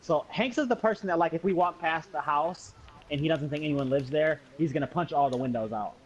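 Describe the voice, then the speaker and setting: A man's voice: on the high side, brisk, breathy edge, highs cut off. An American man casually telling a personal story, acting out dialogue in slangy, sweary speech. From Muskegon, Michigan, USA. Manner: animated retelling with acted-out dialogue and slangy swearing.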